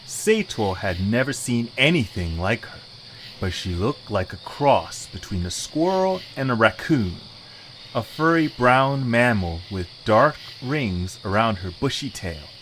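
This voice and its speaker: A man's voice: medium pitch, very animated, unhurried, fairly bright tone, poor audio. Male narrator for an audio story, scripted read, light background noise with night insects.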